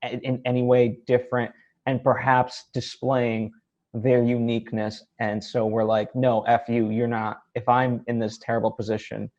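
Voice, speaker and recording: A man's voice: mid-range voice, level tone, moderate pace, darkish tone, muffled recording. A man hosting a conversational interview, feeling his way thoughtfully through long questions. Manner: hesitant, thoughtful, rambling questions. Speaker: interviewer, show host.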